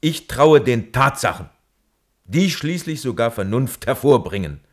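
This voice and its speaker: An actor playing a coolly pragmatic nuclear physicist giving detached, dry, assured testimony in a German radio play. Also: male voice, mid-range voice, very animated, a little slow. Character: coolly pragmatic male nuclear physicist defending weapons tests.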